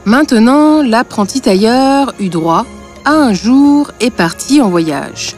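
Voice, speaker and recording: A woman narrating a scripted fairy tale, with a deadpan streak. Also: a little high, slow pace, faint music behind.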